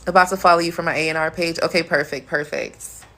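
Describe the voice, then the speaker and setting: Deep-voiced woman chatting on a livestream. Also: steady tone, fast talker, pushed and bright, slight background noise. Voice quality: deep, smooth, sultry voice. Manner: playful and chatty, with bursts of high laughter. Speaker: livestream host. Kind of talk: casual livestream chat, answering comments.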